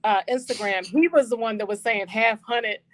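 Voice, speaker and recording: A woman in her forties, a guest on a casual live-stream chat, lively and teasing. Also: a little high, normal speed. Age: 40s. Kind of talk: casual chat on a video call.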